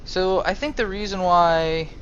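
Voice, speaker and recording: A man narrating and commenting on his video game role-play in a halting, thoughtful way. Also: sits high, fairly bright tone, muffled recording, a little hiss or hum.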